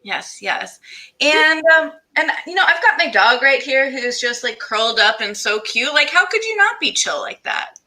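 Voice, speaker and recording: A woman's voice: high voice, very animated, moderate pace, sharp tone. A woman hosting a casual, conversational interview in a gushing, encouraging way. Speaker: interview host.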